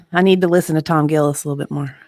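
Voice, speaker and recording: Woman, low register, dry close sound, casual co-host who reads aloud. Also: fairly even intonation, fast talker, a little mellow, no echo. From Southern United States. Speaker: online show co-host reading a deposition. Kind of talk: casual chat with read-aloud passages.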